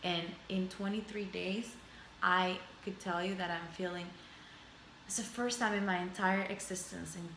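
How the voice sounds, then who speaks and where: A woman's voice: lowish voice, steady tone, moderate pace, fairly bright tone, echoey room, slight background noise, rough recording. A woman in her thirties, a native Spanish speaker, talking reflectively to her camera in a personal video journal, rambling through lists and repeating phrases. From Spanish-speaking background. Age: 30s. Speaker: vlogger. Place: indoors at home. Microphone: camera mic.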